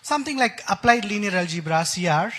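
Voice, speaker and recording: A man's voice: high voice, lively intonation, brisk, a bit bright, slight background noise. A man with an Indian accent, an enthusiastic expert guest explaining technical ideas in a conference interview. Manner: enthusiastic, uses analogies and technical jargon. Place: conference stage.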